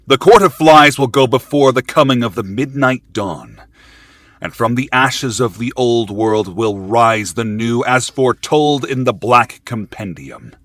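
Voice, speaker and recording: Man voicing a slow, forceful warlock cult leader. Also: mid-range voice, no echo, lightly acted. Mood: solemn, commanding. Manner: grandiose, ritual preaching. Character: sinister adult male warlock cult leader, fanatical.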